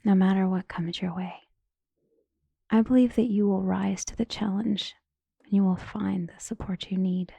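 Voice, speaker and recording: A woman playing a devoted, deferential maid in an audio drama, speaking in a hushed, earnest, conspiratorial way. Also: mid-range voice, steady tone, normal speed, vocal fry, breathy edge, no echo. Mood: earnest, supportive. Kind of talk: dramatic audio roleplay acting.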